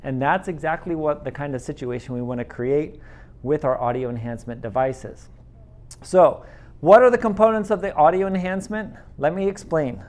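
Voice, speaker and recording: Man, slightly higher voice, trainer, conversational explaining, classroom, pendant mic. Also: normal speed, a little mellow. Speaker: instructional technology trainer. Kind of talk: training presentation. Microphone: teardrop pendant microphone.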